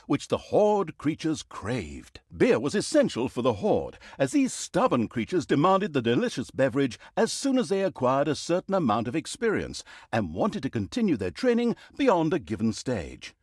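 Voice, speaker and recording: Casting: wry, exasperated fantasy game storyteller, hugely animated intonation, mock-grandiose comic delivery, very dry studio sound. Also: male voice, a little high, normal speed, few pauses, husky, no echo, heavily acted. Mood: wry narrating. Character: sarcastic, easily exasperated male fantasy storyteller mocking evil. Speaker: video game narrator. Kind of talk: theatrical comic storybook narration.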